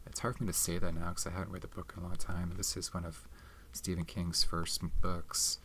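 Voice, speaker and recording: Low, soft-spoken man rambling casually. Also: flat delivery, slight background noise. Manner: hesitant, rambling, unhurried. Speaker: video host showing movie collection. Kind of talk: soft-spoken ASMR casual movie chat. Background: tapping and handling of movie cases.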